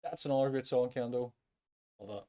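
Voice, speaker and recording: A man's voice: mid-range voice, fairly quick. A man co-hosting a music podcast in casual, agreeable, slightly hesitant chat.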